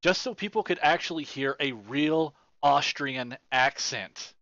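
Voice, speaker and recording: A man hosting a casual gaming podcast, animated and swearing freely with his co-hosts. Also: a little high, measured pace, gravelly, dry recording, lo-fi sound. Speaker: podcast host.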